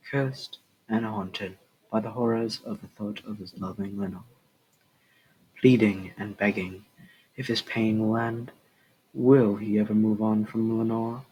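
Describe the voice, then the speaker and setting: A man's voice: on the low side, a little mellow, poor audio. A young man, a student, reading a scripted retelling of a poem aloud. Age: teens or young adult. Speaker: student reader. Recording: clear background noise.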